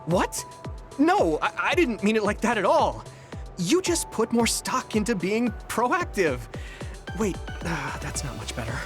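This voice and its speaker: A man's voice: high-pitched, big pitch swings, unhurried, music in the background. An actor voicing an earnest, kind young male soldier in an anime game, sincere and a little hesitant. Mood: flustered. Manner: sincere, hesitant, easily flustered.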